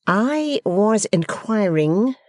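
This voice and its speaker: A narrator voicing a pompous English gentleman who speaks slowly and condescendingly, as if to a deaf child. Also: mid-range voice, sing-song, dry recording, clean studio sound, voice pushed up. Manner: slow, loud, over-explaining as if to a child. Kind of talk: audiobook character voice.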